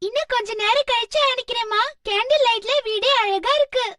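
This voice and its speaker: Very high-pitched eager little girl. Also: a little fast, background noise. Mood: delighted. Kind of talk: Tamil children's cartoon dub. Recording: distant sound, loud children's music underneath.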